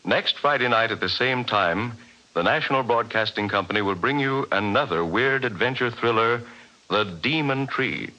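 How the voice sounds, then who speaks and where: A man reading credits and promotions in the crisp, formal manner of a 1940s radio announcer. His tone is bright and the broadcast sound is dull and lo-fi. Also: mid-range voice, animated, moderate pace.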